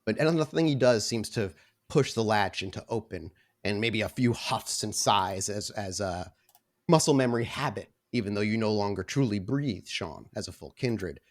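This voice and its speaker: A man's voice: fairly low pitch, animated, moderate pace. A man running a tabletop roleplaying game as game master, narrating scenes descriptively and conversationally.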